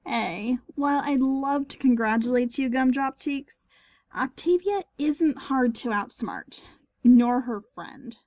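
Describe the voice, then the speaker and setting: A woman's voice: high voice, animated, takes it slowly, darkish tone, no echo, exaggerated voice. A woman voicing a taunting female pony musician, sarcastic and needling, in a dramatised story reading. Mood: snide.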